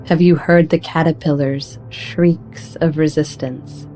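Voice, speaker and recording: Woman w low unhurried voice reading a solemn poetic meditation, faint music behind. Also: dark tone, poor audio. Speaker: audiobook narrator.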